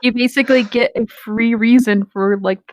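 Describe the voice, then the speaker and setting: A woman's voice: fairly high pitch, moderate pace, background noise. A woman chatting casually with friends, telling candid stories with plenty of swearing. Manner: candid, animated storytelling with swearing. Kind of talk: casual chat among friends.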